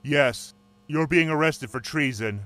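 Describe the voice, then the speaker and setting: A man voicing an unmasked male agency interrogator, flat and matter-of-fact. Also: on the high side, unhurried, faint music behind. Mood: cold.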